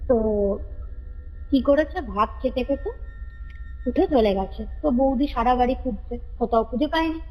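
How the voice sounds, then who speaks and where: High-voiced woman telling stories, reverberant. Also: normal speed, pauses often, lo-fi sound. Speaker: caller telling a ghost story. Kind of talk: casual spoken storytelling of a family ghost story. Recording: reverberant call recording with music behind. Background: background music.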